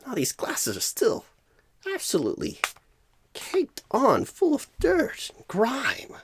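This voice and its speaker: A man voicing a clueless, flustered job recruiter in an ASMR roleplay, rambling with odd pauses mid-sentence. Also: very high-pitched, wildly swooping pitch, a bit bright, slightly rough, exaggerated voice. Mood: fussy, rambling. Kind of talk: ASMR roleplay, comic improvised monologue.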